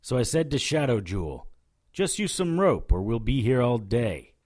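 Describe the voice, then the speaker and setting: A man playing a hard-boiled occult detective in an audio drama, narrating and talking in a dry, sardonic way with a husky voice. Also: medium pitch, big pitch swings, normal speed, a little mellow. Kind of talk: first-person noir narration and dialogue in audio drama.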